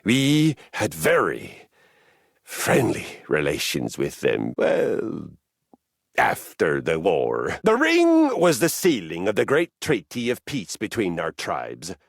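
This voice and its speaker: Grandiose, wildly swooping gravelly Viking warrior. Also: male voice, low voice, huge pitch swings, no room sound, studio quality, full character voice. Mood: solemn, proud. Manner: grandiose, formal archaic phrasing, dramatic pauses. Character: boisterous Viking warrior treadmill, passionate, violence-loving, sentimental. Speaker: video game character voice actor. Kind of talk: theatrical video game character acting.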